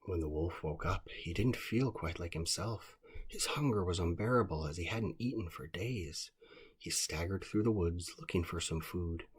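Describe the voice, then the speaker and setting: A man narrating a children's bedtime story in a calm, scripted read-aloud style. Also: sits low, moderate pace, slightly rough.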